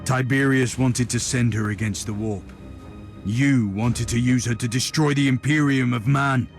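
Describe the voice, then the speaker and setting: An actor playing a stern, devout inquisitor, solemn and formal in a video game cutscene. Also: male voice, medium pitch, fairly even intonation, normal speed, gravelly, lightly acted. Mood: stern, accusing. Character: stern, devout male imperial inquisitor, resolute warrior. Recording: music behind the voice.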